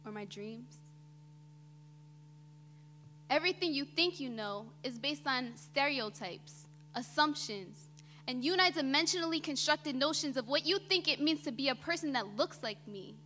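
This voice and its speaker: A young woman performing a theatrical spoken-word monologue on stage, with deliberate, dramatic phrasing that swells emphatically and drops quiet. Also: sits high. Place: indoor auditorium stage before an audience. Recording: reverberant hall, faint background noise.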